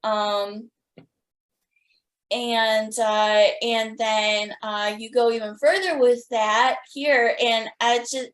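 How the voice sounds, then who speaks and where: A woman hosting a podcast, talking as an enthusiastic fan in a gushing, halting way. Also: on the high side, fairly even intonation. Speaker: podcast host interviewing guests.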